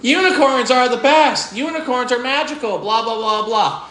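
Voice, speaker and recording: A man's voice: extremely high pitch, normal speed, echoey room, noisy background, voice pushed up. A man reading aloud as a grumpy, jealous male goblin who complains indignantly. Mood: grumbling.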